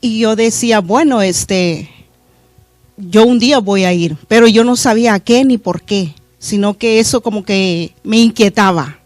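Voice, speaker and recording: A middle-aged woman pastor from Honduras, reflecting earnestly on her faith in a studio interview, pausing with fillers. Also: medium pitch, darkish tone, no echo. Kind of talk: testimony interview. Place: church media studio.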